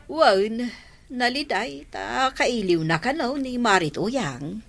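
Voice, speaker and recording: A woman's voice: on the high side, animated, slow, vocal fry, a bit bright, full character voice. A woman with an Ilocano accent playing a gossipy, exclaiming village neighbour in a radio comedy. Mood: reassuring. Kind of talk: radio comedy drama acting.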